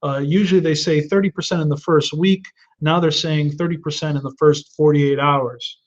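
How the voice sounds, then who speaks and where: A man's voice: on the high side, fairly even intonation, moderate pace, muffled recording. A man in his thirties, hosting a live webinar and answering viewer questions with earnest advice, often restarting his sentences. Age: 30s. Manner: earnest advice-giving with frequent restarts and fillers.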